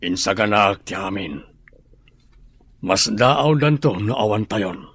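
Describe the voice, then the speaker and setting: A man's voice: on the low side, lively intonation, takes it slowly, fairly bright tone, husky, dry recording, heavily acted. A man acting a watchful, scheming man who urges and explains in a radio drama. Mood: conspiratorial. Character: scheming, watchful adult man giving instructions.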